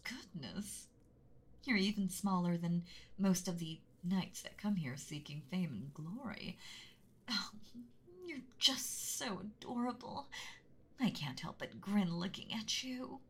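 A woman's voice: fairly low pitch, lively intonation. A woman voicing a whispery motherly dragon. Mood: doting. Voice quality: soft breathy whisper, doting and slow. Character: gentle motherly giant female dragon. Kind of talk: whispered roleplay audio, ASMR.

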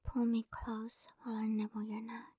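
A woman doing a whispered romantic ASMR roleplay, speaking softly and coaxingly, close to the microphone. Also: on the high side, completely flat delivery, normal speed, no room sound. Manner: soft breathy whispering, affectionate and coaxing. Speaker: ASMR performer.

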